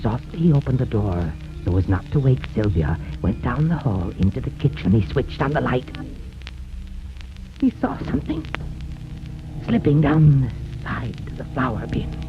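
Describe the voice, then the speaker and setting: A man narrating a horror tale in theatrical, suspenseful 1930s radio style with dramatic pauses, on a muffled vintage recording. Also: medium pitch, big pitch swings. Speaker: horror show narrator and host. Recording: muffled 1930s radio, loud music behind.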